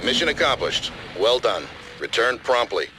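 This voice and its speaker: An actor playing a stern, authoritative military commander who gives clipped, formal mission briefings in a video game. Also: male voice, medium pitch, sing-song, normal speed, long reverb tail, very noisy, natural voice. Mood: commanding.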